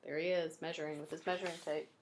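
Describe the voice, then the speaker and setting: A woman's voice: sits low, flat delivery, fast talker, a little hiss or hum. A woman teacher reading a children's chapter book aloud, adding friendly asides to her young listeners. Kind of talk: children's read-aloud narration.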